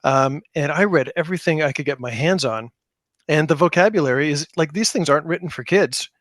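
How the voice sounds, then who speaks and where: A man's voice: a little high, brisk, fairly bright tone, dry recording, studio quality. A middle-aged man telling anecdotes casually as an interview guest, repeating words for emphasis. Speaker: podcast guest, game creator; high school teacher.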